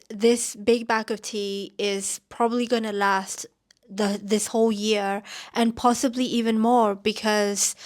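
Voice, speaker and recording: Woman from myanmar chatting on her podcast, stuffy nasal voice, slow and rambly, says like a lot. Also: medium pitch. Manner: rambling, lots of "like" fillers. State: nasal, getting over a cold. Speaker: podcast host. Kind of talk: casual chatty podcast talk.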